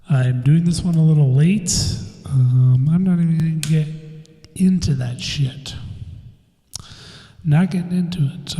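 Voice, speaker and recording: A man's voice: fairly high pitch, warm tone. Stuffed-up man rambling in an echoey room. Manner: laid-back, swears casually, laughs at himself. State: stuffed up, slightly congested. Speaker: podcast host. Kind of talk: casual solo podcast rambling. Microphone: phone or computer mic, new recording software. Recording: echoey room with long reverb.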